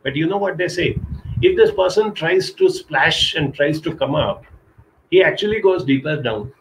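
A middle-aged man from India giving an earnest motivational talk over a webcam from a small curtained room, slowing down to stress key points. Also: a little high, lively intonation, gravelly, slight background noise, poor audio. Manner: earnest, urging, slows down for emphasis. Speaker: counselor giving a live talk. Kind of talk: motivational live-stream talk. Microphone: webcam video call.

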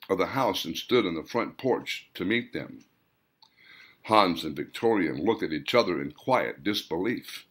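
A man reading a story as a scripted audiobook narration, in deliberate, dramatic phrases broken by pauses. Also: on the low side, fairly bright tone, slightly rough. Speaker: author reading own novel, narrator.

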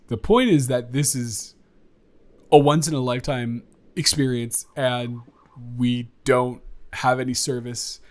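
A man's voice: medium pitch, a little mellow, lightly acted. A young man playing a nervous, devoted friend in an improvised romantic audio roleplay, talking conversationally with stammers and rambling. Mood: nervous, earnest. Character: nervous, devoted young male friend about to confess love.

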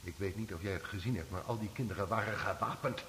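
An actor playing a ruthless, distrustful criminal in a radio play, curt, bossy and sardonic. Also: male voice, fairly low pitch, fast talker, fairly bright tone, faint music behind, natural voice. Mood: wary, impatient. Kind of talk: Dutch radio play acting. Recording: hissy old radio recording, long cave-like reverb.

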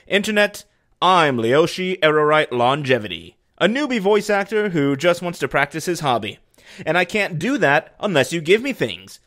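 A man's voice: on the high side, sing-song, normal speed, fairly bright tone. A man, an amateur voice actor, delivering casual scripted narration for a voice reel.

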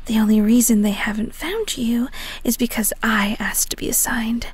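A woman's voice: fairly high pitch, moderate pace, slightly rough. A woman playing a haughty, possessive yandere ex-girlfriend in an audio roleplay, taunting and dismissive. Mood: scornful. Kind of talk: dramatic audio roleplay acting.

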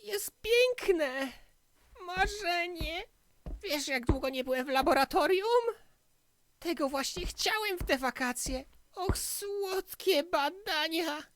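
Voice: very high voice, expressive, slow, fairly bright tone, exaggerated voice. An actor voicing a timid, nerdy, whiny camp boy who panics easily, in a cartoon fan dub. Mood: thrilled. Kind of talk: animated series fan dub.

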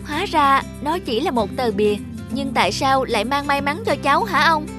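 A woman's voice: high-pitched, animated, normal speed. A woman voicing an earnest, affectionate young granddaughter in a cartoon dub. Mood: grateful, earnest. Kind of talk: cartoon dubbing. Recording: music behind the voice.

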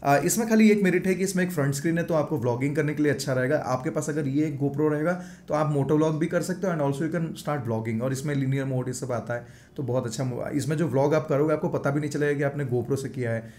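A man's voice: on the high side, a little fast, a little mellow. Chatty Indian man with a raised voice. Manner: chatty, mixes Hindi and English, shows gear while talking. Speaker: moto vlogger reviewing action cameras. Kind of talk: casual gear review vlog. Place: home gaming room, indoors. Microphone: large foam mic on desk in front.

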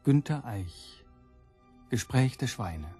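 A man's voice: medium pitch, expressive, slow pace, dark tone, husky. A man solemnly announcing a radio play in a formal manner. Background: music.

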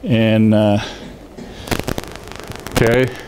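A man's voice: lowish voice, flat delivery, extremely slow, slight background noise, poor audio. An older man giving a loose, rambling library talk to a seated audience, full of fillers and restarts, on a lapel mic as he walks around. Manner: says he has a loud voice. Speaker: guest speaker. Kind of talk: informal public lecture. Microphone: lapel mic, walks around the room.

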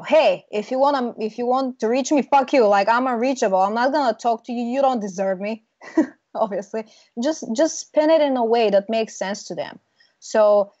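A woman's voice: fairly high pitch, a little mellow, dry recording. A confident young woman from Bulgaria, a branding consultant speaking as a guest in a casual video-call interview, talking emphatically. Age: young adult. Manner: confident, emphatic, laughs now and then. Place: small room indoors. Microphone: earbud mic on video call. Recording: dull lo-fi video call audio.

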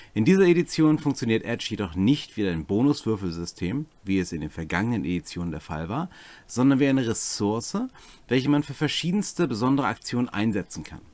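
A middle-aged man explains game rules as a host, his pitch moving around in a lively way. He mixes structured explanation with casual asides. The sound is dry and close, with little room in it. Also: a little fast, no echo. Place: small cluttered home room, indoors.

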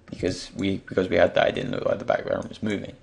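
A young man with a croaky voice, just woken up, thinking aloud with many pauses as he talks through a software tutorial. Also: low voice, monotone, slight background noise. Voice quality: croaky, gravelly. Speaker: tutorial creator. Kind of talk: screen-recorded software tutorial.

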